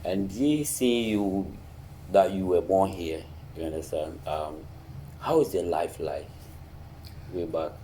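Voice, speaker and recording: Deep-voiced man hosting a casual interview. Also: warm tone, slight background noise, rough recording. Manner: halting, repeats words, short affirming "okay"s. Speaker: interviewer, host.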